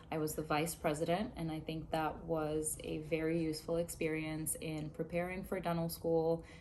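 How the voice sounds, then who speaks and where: A young woman from Zambia, a dental student, answering interview questions to camera in a measured, earnest way from a small room. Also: low-pitched, darkish tone, slight background noise. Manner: measured, earnest, explains with hand gestures. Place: small indoor room, plain wall.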